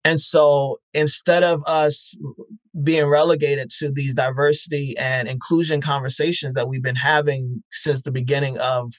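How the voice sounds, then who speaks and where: Reflective man, measured podcast guest. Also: mid-range voice, level tone, no echo. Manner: reflective, many fillers and restarts. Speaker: podcast festival founder, guest. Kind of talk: conversational interview answers.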